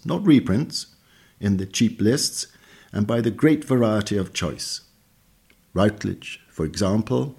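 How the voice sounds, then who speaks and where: A man reads a scripted literary essay in a measured, bookish way. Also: lowish voice, darkish tone, breathy edge. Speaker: reader of a magazine essay. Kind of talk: scripted narration, read essay.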